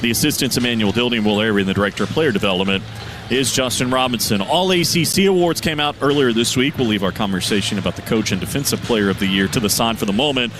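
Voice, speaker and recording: A man announces a college basketball broadcast in a quick, steady cadence, running through player names and numbers. His voice sits in the middle of the male range, and music plays clearly underneath. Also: mid-range voice. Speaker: radio play-by-play announcer. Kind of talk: pregame sports radio broadcast. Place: arena broadcast position.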